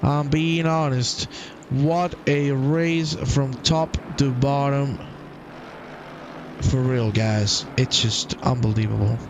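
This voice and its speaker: Male sim racing commentator, unhurried and a bit high, playful, peaks at the checkered flag; engine noise behind. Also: steady tone. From half Croatian. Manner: enthusiastic, jokey, swells loud and high at the finish. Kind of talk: live race commentary.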